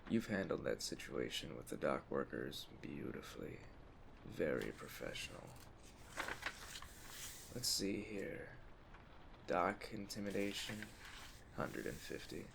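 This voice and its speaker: A mafia Don, played by a man, speaks very low with a heavy gravelly creak. He is a courteous, measured crime boss with quiet menace, talking straight to the listener in an ASMR roleplay. Storm ambience runs faintly behind him. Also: expressive, noisy background, heavily acted. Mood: calm, quietly menacing.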